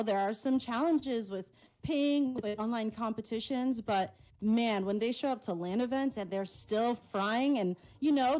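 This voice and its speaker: A woman's voice: on the high side, darkish tone, rough recording. Gushing woman co-casting in esports. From Puerto Rican and Salvadoran family. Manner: enthusiastic, gushing. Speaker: bilingual esports co-caster. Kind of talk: casual esports stream commentary.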